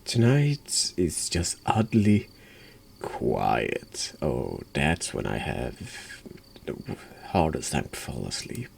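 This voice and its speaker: A man congested with a cold, hosting a horror game playthrough in a hushed ASMR style, halting and sometimes dropping into whispers. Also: extremely low pitch, wildly swooping pitch, gravelly, a little hiss or hum. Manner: slow, halting, drops into whispers. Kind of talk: hushed ASMR-style game commentary and read-aloud narration.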